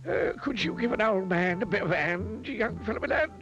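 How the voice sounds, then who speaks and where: A man's voice: sits high, lively intonation, a little fast, lo-fi sound, over music, voice pushed up. A man doing a quavering old man. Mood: pleading. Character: frail old man asking for help.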